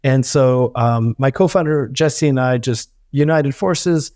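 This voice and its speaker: A man's voice: medium pitch, a little mellow, no room sound, clean studio sound. A man in his thirties, born in Hungary and raised in the US, talking as a guest in a casual interview with lots of fillers and restarts. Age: 30s. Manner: quick, rambling, many fillers and restarts. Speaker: startup founder guest.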